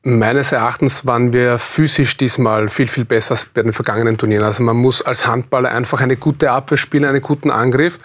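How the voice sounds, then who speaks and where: A man's voice: medium pitch, fairly even intonation, fairly quick, few pauses, a bit bright. Male athlete interviewee, Austrian accent, mid-range voice, keen and sincere, TV studio talk. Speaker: athlete interview guest, handball goalkeeper.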